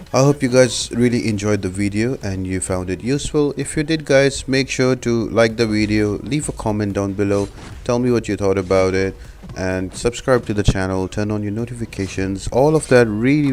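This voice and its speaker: A man's voice: fairly low pitch, darkish tone. A man giving a step-by-step gameplay tutorial as a voice-over, explaining things as a guide host. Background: background music.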